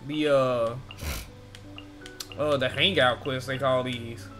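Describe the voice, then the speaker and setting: A man's voice: mid-range voice, fairly even intonation, slow pace, pushed and bright, faint music behind. A man streaming a video game, chatting informally and thinking aloud as he plays. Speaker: gaming streamer. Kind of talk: casual gameplay commentary. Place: behind: game music.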